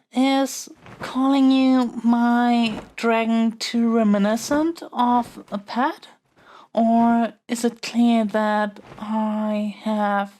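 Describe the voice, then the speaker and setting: A woman's voice: on the high side, a little mellow, slight background noise. A woman playing a lovestruck young princess in a romantic fantasy audio roleplay, speaking in a flustered, stammering, earnest way. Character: lovestruck young princess smitten with her dragon kidnapper.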